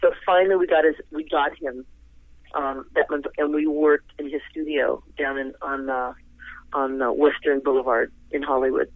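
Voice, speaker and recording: A woman's voice: very deep voice, steady tone, moderate pace, dry recording. An older woman, a singer, chatting as a guest in an interview, talking effusively and rambling with frequent laughs, heard over the phone. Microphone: telephone. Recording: tinny phone line, dry.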